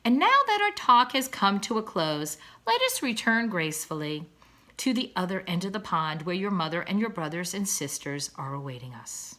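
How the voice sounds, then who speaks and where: A woman's voice: fairly low pitch, very animated, moderate pace, bright, forceful tone. A woman voicing a wordy father swan from a children's storybook, kindly and encouraging, speaking in a formal, emphatic, oratorical way. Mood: solemn, promising. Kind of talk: children's storybook read-aloud.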